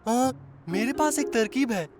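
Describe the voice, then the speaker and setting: A woman voicing a polite, eager young male freight train in a children's cartoon, gentle and helpful in manner. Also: on the high side, lively intonation, a little slow, music in the background. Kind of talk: animated children's cartoon dub in Hindi.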